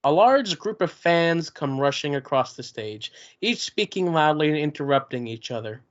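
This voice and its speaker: A man's voice: fairly high pitch, lively intonation, moderate pace. Man plainly reading stage directions. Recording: dull lo-fi recording.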